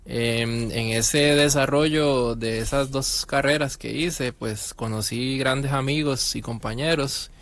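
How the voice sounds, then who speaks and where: A young man, medium in pitch, answering interview questions. He speaks politely and earnestly, telling his story in a steady way. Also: takes it slowly, hardly any pauses. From Costa Rica. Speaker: music student, double bassist.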